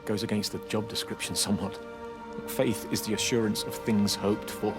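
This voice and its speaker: An actor playing a gentle, earnest village priest in a video game drama, measured and consoling. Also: male voice, on the low side, level tone, close to an everyday voice. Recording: music under the voice.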